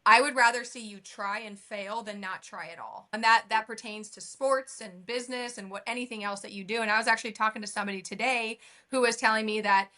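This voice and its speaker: A woman hosts a podcast in a chatty, affirming way, drifting into rambling anecdotes. She is from Nebraska. Her voice is bright and forceful and sits fairly high. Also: steady tone, fairly quick, hardly any pauses. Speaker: podcast host. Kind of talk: casual interview chat.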